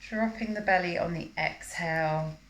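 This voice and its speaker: A woman teaching yoga, guiding a breathing practice in a calm, measured, instructional manner during a live class. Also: lowish voice. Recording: roomy, echoing live class recording.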